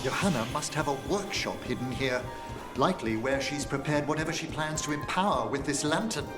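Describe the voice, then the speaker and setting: A man's voice: fairly high pitch, a little fast, over music, lightly acted. A man playing a scholarly older male necromancer in a video game, with refined, theatrical and precise diction. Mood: measured, purposeful.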